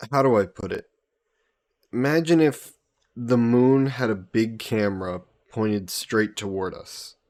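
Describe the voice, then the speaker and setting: A man's voice: lowish voice, natural voice. A young man playing an easygoing, teasing fantasy hero from Earth in a roleplay audio, chatting fondly to the listener. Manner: unhurried, chatty, playfully teasing.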